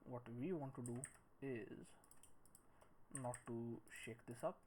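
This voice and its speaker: A man in his thirties giving a screen-recorded tutorial, thinking aloud in a halting, musing way as he works. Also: medium pitch, warm tone. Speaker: 3D modelling tutorial presenter. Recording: noisy room with clicks and typing.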